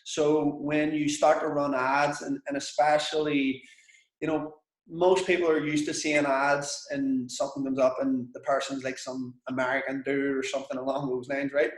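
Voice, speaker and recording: A man's voice: mid-range voice, flat delivery, normal speed, reverberant, poor audio. A man with a Belfast accent coaching a business group in a frank, chatty, sweary way. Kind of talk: casual coaching session with students.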